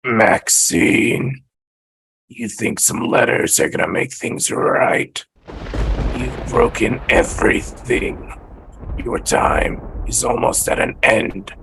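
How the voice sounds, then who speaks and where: An amateur actor voicing a monstrous villain in a table read, slow, taunting and menacing, with a raspy, breathy growl. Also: mid-range voice, sing-song, a bit bright, full character voice.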